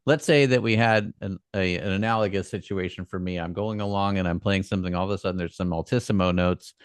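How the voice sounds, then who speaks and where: A man hosting a podcast interview, asking halting questions in an easygoing way with short backchannels. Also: sits low, fairly even intonation, clean tone, no echo. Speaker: podcast host. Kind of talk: casual interview chat.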